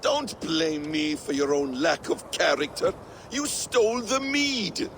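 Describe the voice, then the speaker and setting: An actor playing a bitter, wily trickster god in a video game, gravelly, theatrical and menacing. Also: male voice, on the high side, sing-song, takes it slowly, a bit bright, slight background noise, full character voice. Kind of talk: dramatic video game cutscene acting.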